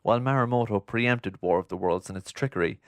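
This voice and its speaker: A man's voice: fairly low pitch, fairly quick, no echo, clean studio sound. A man narrating a scripted documentary.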